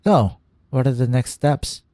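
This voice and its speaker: A man's voice: medium pitch, a little slow, background noise. A man giving casual, slangy, joking commentary as he plays through a game. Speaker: game streamer. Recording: game music behind the voice.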